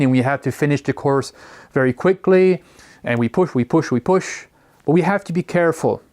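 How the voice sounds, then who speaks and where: Guy teaching a seminar, slightly high voice, bouncy and animated, leans on key words. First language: French. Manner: lively, stretches and stresses key words. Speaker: teacher trainer. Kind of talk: lecture.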